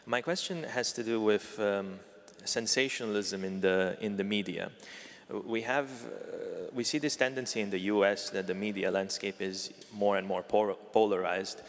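A man's voice: fairly low pitch, fairly even intonation, moderate pace, few pauses. A man from Romania in the audience, asking a long, thoughtful question at a panel discussion. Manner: thoughtful, builds his question at length. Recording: long hall reverb, from the audience.

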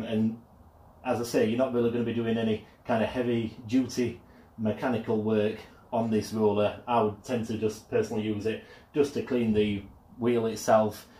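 A man with a low, fairly level voice talks through a product demonstration with a hesitant, filler-heavy delivery. He is filmed on a phone in his garage. The sound bounces around the echoey space. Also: mellow. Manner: hesitant, many ums and uhs. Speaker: product reviewer. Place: home garage. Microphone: mobile phone camera.

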